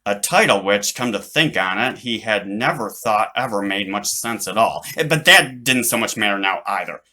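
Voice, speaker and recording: Bright-voiced man narrating a children's story. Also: fairly low pitch, expressive, moderate pace. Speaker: author reading own book.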